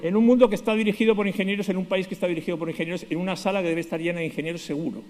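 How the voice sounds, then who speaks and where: A middle-aged Spanish-accented man speaks quickly, with a fairly high voice, as he delivers a conference keynote. He is ironic and pokes fun at himself. His voice carries a long hall reverb. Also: fairly even intonation, darkish tone, slight background noise. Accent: Spanish from Spain. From Spain, Basque Country. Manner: ironic, self-deprecating humor.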